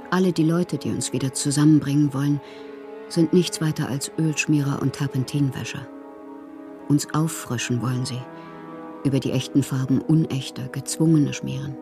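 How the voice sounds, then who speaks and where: A woman's voice: low-pitched, fairly even intonation, normal speed, dark tone, soft background music. An actress playing an impassioned, proud and whimsical woman poet who reads out her letters theatrically in a radio drama. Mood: wounded, bitter. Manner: theatrical, capricious, swinging from wounded to playful.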